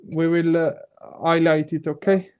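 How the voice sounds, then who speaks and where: A man's voice: fairly high pitch, little pitch movement, unhurried, clear, resonant tone, lo-fi sound. A man hosting a live call-in stream, friendly and affirming, laughing often with callers. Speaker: host.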